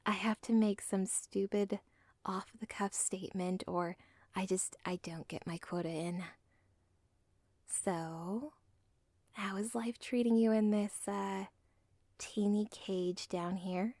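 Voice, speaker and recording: A young woman voicing an obsessive villain's daughter in an ASMR roleplay, rambling in soft whispered asides that break the fourth wall. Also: medium pitch, steady tone, slow pace, dry recording, studio quality. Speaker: audio roleplay performer.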